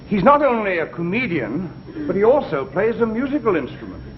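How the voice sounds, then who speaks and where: A courteous but doubtful businessman as straight man in a 1950s comedy sketch. His voice is high and very animated. A clear crowd sits behind him on the recording. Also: brisk. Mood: measured. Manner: courteous, echoes the other's words. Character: polite, doubtful male company executive, easily amused. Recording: muffled 1950s recording, studio audience, noise.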